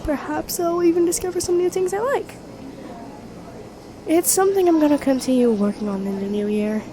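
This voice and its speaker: Casting: woman to play young female best friend with a secret crush, high mellow voice, chatty and teasing, intimate ASMR roleplay. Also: normal speed, close to an everyday voice. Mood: thoughtful, earnest. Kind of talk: intimate ASMR roleplay acting, one side of a conversation.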